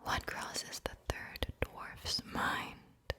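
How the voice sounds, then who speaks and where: A woman whispering in a breathy voice as she hosts an ASMR guided visualization, speaking straight to the listener and softly checking in with questions. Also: very slow. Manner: gentle direct address with soft check-in questions. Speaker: ASMR host guiding listeners.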